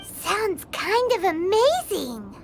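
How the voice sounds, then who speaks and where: Squeaky, very high childlike fairy girl. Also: huge pitch swings, takes it slowly, creaky, a little hiss or hum, full character voice. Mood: amazed. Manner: exclamatory, speaks of self in third person. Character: small childlike female floating fairy companion, cute and curious. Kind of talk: video game cartoon voice acting. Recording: loud music behind the voice.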